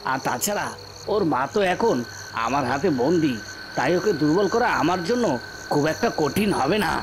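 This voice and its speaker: A man's voice: a little high, animated, moderate pace, background noise, close to an everyday voice. A man voicing a scheming usurper villain in a fairy tale cartoon, commanding and self-assured. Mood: scheming, confident. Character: scheming usurper villain plotting against the prince.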